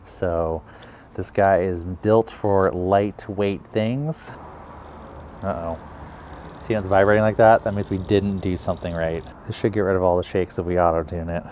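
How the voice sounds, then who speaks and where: A man's voice: sits low, fairly even intonation, moderate pace, darkish tone, a little hiss or hum. A man chatting casually as a video creator and host of a vlog.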